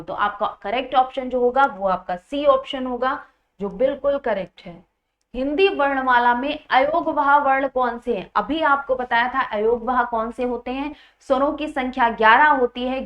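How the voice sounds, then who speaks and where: A woman's voice: on the high side, highs cut off. A woman teaching an exam-prep grammar lesson in an instructional way, asking students questions and repeating key points. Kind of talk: Hindi grammar lesson, explaining quiz questions.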